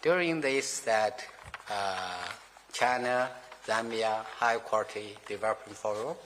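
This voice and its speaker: A man giving formal remarks as a company executive in halting English, full of fillers and grammar slips. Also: on the low side, a bit bright, a little hiss or hum. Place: formal meeting room. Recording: reverberant meeting hall.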